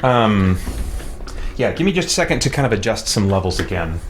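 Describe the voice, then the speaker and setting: A man's voice: lowish voice, sing-song, brisk. A man hosting a casual livestream from home, talking in a rambling, hesitant way as he explains himself. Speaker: livestream host. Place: indoors at home. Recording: clear background noise.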